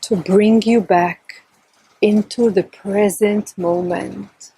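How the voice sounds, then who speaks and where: A woman leading a guided meditation in a calm, gentle, soft and breathy voice, with long unhurried pauses between short phrases. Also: mid-range voice, slight background noise, low-quality recording. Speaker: meditation teacher. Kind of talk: guided meditation, slow and instructional.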